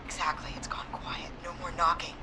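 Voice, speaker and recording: A woman's voice: lowish voice, little pitch movement, fairly quick, in a whisper, lightly acted. An actress playing a brave but frightened small-town woman dispatcher on the run in a video game. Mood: hushed, wary, determined.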